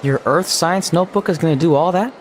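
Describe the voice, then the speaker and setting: A man's voice: fairly high pitch, brisk, slight background noise, close to an everyday voice. A man playing a goofy, easygoing young wrestler in a video game, with a joking, casual delivery. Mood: breezy, joking. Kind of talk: scripted video game cutscene acting.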